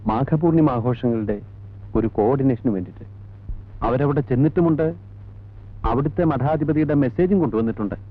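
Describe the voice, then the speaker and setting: An actor playing a formal, guarded head monk of an ashram in a 1980s film drama, speaking in a measured, officious way. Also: male voice, mid-range voice, lightly acted. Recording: tinny band-limited old film soundtrack.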